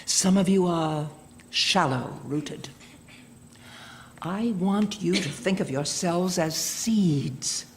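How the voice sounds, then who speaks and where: An actress playing a stern, zealous indoctrinator who lectures captive women in a deliberate sermonizing manner in an audio drama. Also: female voice, fairly low pitch, noisy background. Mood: solemn, instructing. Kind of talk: audio drama acting, preachy speech.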